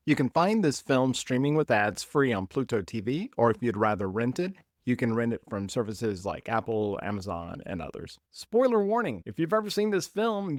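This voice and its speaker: A man's voice: mid-range voice, dry recording, clean studio sound. A man hosting a film podcast, reading an upbeat scripted intro, then chatting casually with his co-host. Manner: upbeat, reads from notes.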